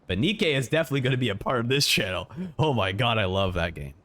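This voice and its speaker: Man, game streamer, live reaction commentary, highly varied intonation, excitable, repetitive phrasing. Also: fast talker. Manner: excitable, effusive, repeats phrases.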